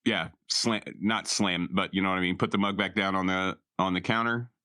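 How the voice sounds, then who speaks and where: Male tabletop player, very low pitch, forceful bright tone, casual informal talk, dry sound. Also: fairly even intonation, a little fast, clear, resonant tone, dry recording. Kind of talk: casual in-game chat.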